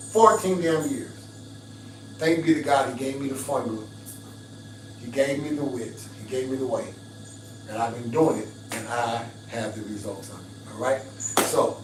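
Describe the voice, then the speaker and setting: Raspy middle-aged man preaching fitness. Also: fairly high pitch, distant mic. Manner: preachy, emphatic, addresses viewers directly. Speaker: fitness livestreamer. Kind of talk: casual livestream pitch to viewers. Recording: roomy livestream audio from off-mic with faint hum.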